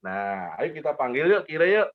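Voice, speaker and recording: A man's voice: a little high, big pitch swings, normal speed, dry recording, phone-quality sound. A man telling an interactive children's story, playfully coaxing and talking straight to little kids. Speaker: volunteer parent storyteller for kindergarteners.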